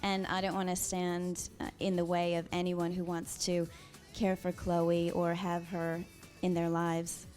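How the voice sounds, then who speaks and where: A woman in her thirties answering interview questions in a casual, thoughtful way, pausing often with soft ums. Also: fairly low pitch, monotone, faint music behind. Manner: soft-spoken, thoughtful, with frequent pauses and ums. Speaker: interview guest.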